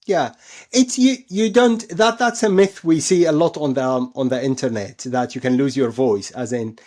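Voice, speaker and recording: A man's voice: mid-range voice, moderate pace, a little mellow. A man speaking as an expert surgeon guest, explaining things in an interview, often repeating and rephrasing himself for emphasis.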